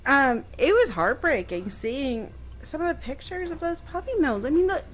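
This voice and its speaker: A woman's voice: high voice, big pitch swings, low-quality recording. A woman co-hosting a casual radio talk show, reacting readily and sharing her own experiences. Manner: chatty and responsive, quick to react. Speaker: radio co-host.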